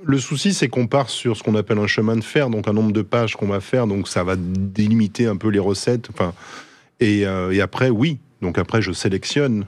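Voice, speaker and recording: Low-voiced male chef guest from the Ardèche, relaxed and halting, dead-dry studio sound. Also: no room sound. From Ardèche, France. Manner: relaxed, hesitant, restarts phrases. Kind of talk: casual interview. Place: radio studio. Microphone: studio mic.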